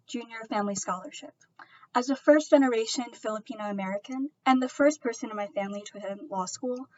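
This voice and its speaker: A woman's voice: on the high side, lo-fi sound. A young woman law student giving an earnest, sincere speech accepting a scholarship.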